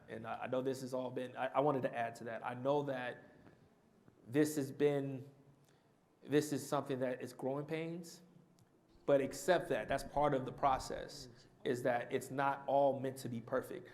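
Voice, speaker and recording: Panelist, male, mid-pitch, off-the-cuff answer that restarts and circles back. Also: a little mellow. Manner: conversational, restarts and repeats himself. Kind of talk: answering audience question.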